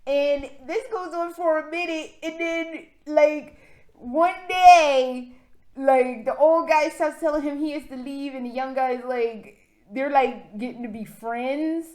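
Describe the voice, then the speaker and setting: Excitable, foul-mouthed woman vlogger. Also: sits high, slow. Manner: excitable, profane, rambling. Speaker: gaming vlogger. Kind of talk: casual vlog recap.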